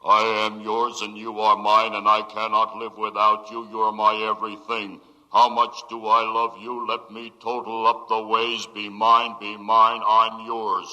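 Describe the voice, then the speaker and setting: A pompous mechanical lover declaims with stiff, stilted phrasing in an old radio play. His voice is lowish and very piercing, jealous and menacing at the core, wrapped in long reverb. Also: completely flat delivery, a little slow, sharp tone, lo-fi sound. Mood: ardent, reciting. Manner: stilted, declaiming, recites clichés. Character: lovesick, jealous male robot, pompous and threatening. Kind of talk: 1950s radio drama acting.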